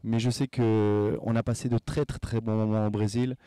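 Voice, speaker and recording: Thirties male, mellow and smooth, loose rambling delivery, dry room. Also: on the low side, little pitch movement, normal speed, no echo. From born in Casablanca, Franco-Chilean. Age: 30s. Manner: rambling, filler-heavy, restarts phrases. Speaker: event company co-founder. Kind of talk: relaxed promo interview.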